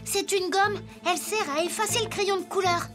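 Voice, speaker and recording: A voice actor playing an earnest, inquisitive little wooden toy boy detective in a children's cartoon, articulating questions clearly. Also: extremely high pitch, steady tone, normal speed, music in the background. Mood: curious, focused.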